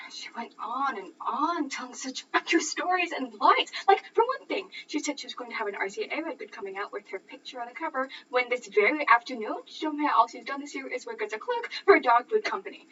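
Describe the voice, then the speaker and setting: A young woman playing an indignant, gossipy woman fuming with exasperation in an animated stage monologue. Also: on the high side. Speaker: drama student performing a monologue. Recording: distant, dull, noisy room recording.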